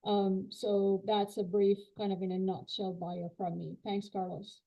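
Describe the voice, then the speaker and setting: A woman, a finance executive and researcher, introducing herself on a webinar in a soft voice that fades at the end of sentences. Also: medium pitch, level tone, unhurried, lo-fi sound. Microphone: video call.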